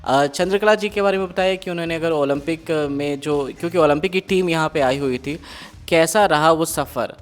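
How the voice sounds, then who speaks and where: A man's voice: a little high, normal speed. A man working as a reporter, interviewing people on location in a news style. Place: on location in a village.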